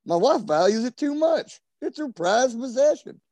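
A male actor performs a wealthy, flustered man with a secret in a 1940s-style radio play. His pitch swoops extremely widely as he confides in a halting, theatrical way. The sound is dry, with no room around it. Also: big pitch swings, darkish tone, dry recording. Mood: sheepish, confessing. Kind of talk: 1940s radio drama acting.

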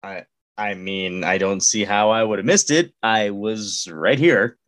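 A man's voice: on the low side, a little slow, a bit bright. Hesitant male podcast host. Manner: hesitant, stammering straight man. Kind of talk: comedic podcast banter.

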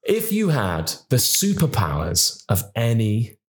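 A young man from Wales co-hosting a casual podcast, playful and quick to laugh as he tells stories. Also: mid-range voice, slight background noise. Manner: playful, laughs often, animated storyteller. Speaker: podcast co-host and fitness instructor. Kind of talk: casual chat.

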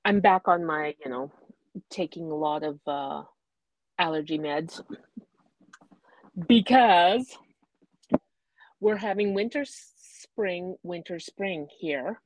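Podcast co-host, woman, slow and hesitant, low voice, close dry sound. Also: no room sound, rough recording. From Canada. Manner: hesitant, lots of ums and trailing sentences. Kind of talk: casual chat.

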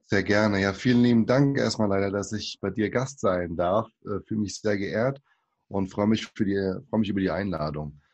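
A man in his forties, born in Brazil, speaks as a podcast guest. His voice sits very low with a gravelly, husky texture. He talks in a hesitant way, with many fillers and restarts. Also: moderate pace, darkish tone. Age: 40s. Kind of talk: casual interview talk.

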